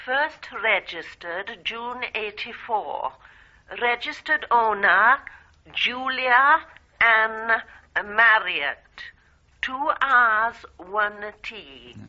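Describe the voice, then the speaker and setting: An actress playing a female records clerk, deliberately reading out details in a 1980s radio drama. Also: a little high, expressive, pushed and bright, heavily acted. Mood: businesslike. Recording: dull, muffled radio broadcast recording.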